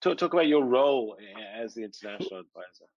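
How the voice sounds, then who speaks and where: A man's voice: medium pitch, lively intonation, fast, highs cut off. A man hosting an interview podcast who rambles and restarts as he introduces his guest. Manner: rambling, hesitant, friendly. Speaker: podcast host. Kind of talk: conversational interview.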